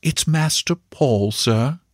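A deferential manservant acted out by a man from a storybook, pitched up and very animated. He speaks confidingly and reverently, with homely, respectful phrasing. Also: a little slow, no echo, studio quality, full character voice. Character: deferential male servant, loyal, reverent, talks horse racing.

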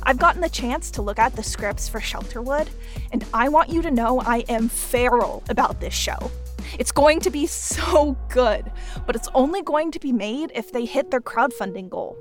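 A woman's voice: a little high, normal speed, faint music behind. A woman giving an enthusiastic promotional host read as a podcast producer.

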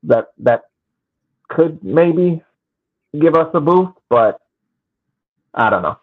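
A man's voice: on the high side, sing-song, slow, phone-quality sound. A man chatting casually about football as a podcast panelist, heard over thin call audio.

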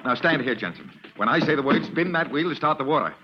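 A man's voice: mid-range voice, sing-song, fast talker, a little hiss or hum, close to an everyday voice. A man playing a commanding school principal. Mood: urgent. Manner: firm, urgent, theatrical. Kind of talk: 1940s radio acting. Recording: dull old radio transcription.

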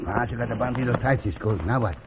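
An actor playing a simple, eager Mexican cowboy sidekick who speaks broken English with a Mexican accent in an old radio western. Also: male voice, mid-range voice, quick pace, close to an everyday voice. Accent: Mexican, put on. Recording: noisy, tinny old radio recording.

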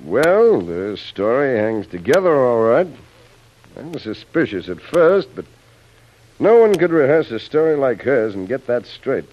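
An actor playing a brash, teasing island boss with blunt, slangy talk in an old radio serial. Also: male voice, medium pitch, big pitch swings, measured pace. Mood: assured. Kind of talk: 1930s radio serial acting. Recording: muffled old radio recording.

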